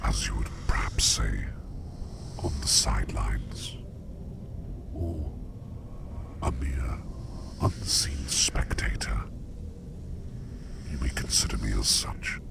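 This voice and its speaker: Man acting a very deep, gravelly whispering demon. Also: animated, exaggerated voice. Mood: calm, mysterious. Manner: slow, measured, formal old-fashioned diction. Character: curious, gentle, formal male sleep demon, dream walker. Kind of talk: whispered ASMR roleplay monologue.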